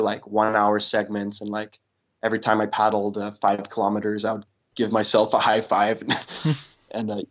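Danish guy chatting easy in an interview, kinda low voice, lots of ums and restarts. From Denmark. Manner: relaxed, many fillers and restarts, laughs often. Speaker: interview guest, paddle athlete. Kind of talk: casual interview answers.

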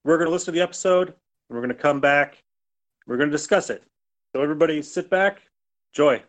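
High-voiced male podcast host. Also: fast. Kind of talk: casual show introduction. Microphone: remote voice call recording.

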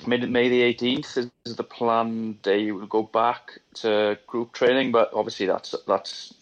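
A man, talking as a guest in a halting, repetitive way while he thinks. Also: mid-range voice, little pitch movement. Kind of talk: casual remote chat. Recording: lo-fi call audio.

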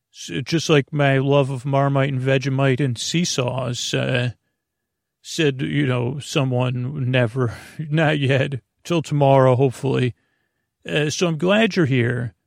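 A man hosting a sleep podcast, meandering gently off topic with lots of hesitant "uh"s. His mid-pitched voice has a gravelly crackle. It is close and dry, with no room sound. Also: no room sound. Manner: rambling, soft-spoken, hesitant fillers. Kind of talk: meandering bedtime chat.

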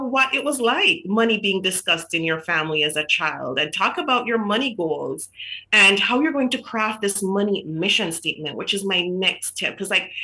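A middle-aged woman from Jamaica, a guest author in a casual podcast interview, telling stories in a chatty way with many fillers and restarts. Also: lowish voice. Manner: chatty, warm storytelling with frequent fillers and restarts.

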